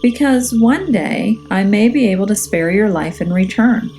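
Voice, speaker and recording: A woman voicing a tiny, meek male storybook mouse, squeaky, polite and pleading. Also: animated, normal speed, warm tone, faint music behind, natural voice. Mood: earnest.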